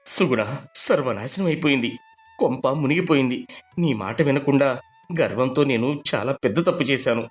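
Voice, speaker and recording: An actor playing a stern, penny-pinching middle-class husband who talks down to his family in an animated moral story. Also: medium pitch, moderate pace, faint music behind, lightly acted. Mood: ashamed, panicked. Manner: scolding, questioning. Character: stern, tight-fisted middle-class husband, bank clerk, belittling his wife.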